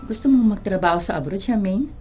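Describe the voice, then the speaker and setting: A woman's voice: mid-range voice, expressive, fast talker, mellow, faint music behind, lightly acted. A woman playing a caring, protective middle-aged mother with a Filipino accent in a radio drama. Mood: warm, supportive.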